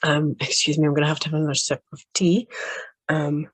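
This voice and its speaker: A woman speaking as a healing practitioner in a casual, grateful chat with her viewers, still a little under the weather, with frequent soft laughs and pauses. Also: sits low, little pitch movement, breathy edge, dry recording. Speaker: quantum healing hypnosis practitioner.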